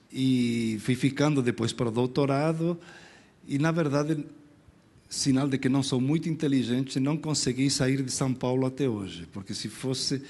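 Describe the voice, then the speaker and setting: Middle-aged male lecturer, Spanish accent, easygoing and self-mocking, rambling conference talk. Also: medium pitch. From Spain. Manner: relaxed, self-deprecating, rambling with restarts.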